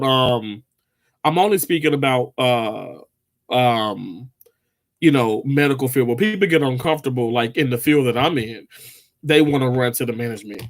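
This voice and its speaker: A man's voice: medium pitch, expressive, normal speed. A man, chatting casually with viewers on a livestream, rambling with lots of fillers and restarts. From Mississippi, US. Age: 30s. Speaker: livestream host.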